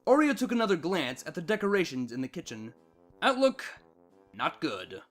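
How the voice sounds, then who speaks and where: A man with a high-pitched voice narrates a story from a script. His delivery is expressive and varied, with music playing underneath. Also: normal speed. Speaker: fan fiction narrator.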